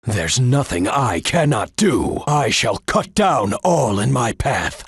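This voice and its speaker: A man's voice: mid-range voice, very animated, slow pace, husky, no echo, full character voice. An actor voicing a brooding, arrogant male hedgehog antihero in a cartoon, grave, menacing and theatrical. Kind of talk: animated series voice acting.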